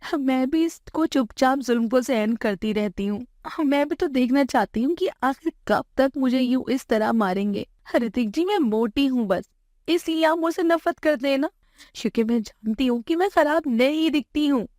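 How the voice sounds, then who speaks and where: Woman playing a plaintive, higher-pitched young wife. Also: expressive, moderate pace, a little mellow, dry recording, clean studio sound. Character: hurt but dignified young wife standing up for herself.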